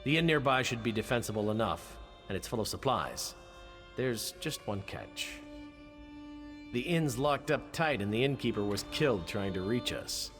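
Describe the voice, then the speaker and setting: An actor playing a cocky, roguish young guard captain in a fantasy video game, with a wry, swaggering delivery. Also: male voice, mid-range voice, lively intonation, moderate pace, fairly bright tone, long reverb tail, soft background music, close to an everyday voice. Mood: serious, brisk.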